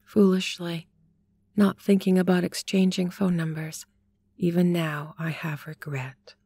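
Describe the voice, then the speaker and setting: A woman narrating a listener's written story in a calm, slow, measured reading voice. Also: fairly low pitch, slightly rough, no echo.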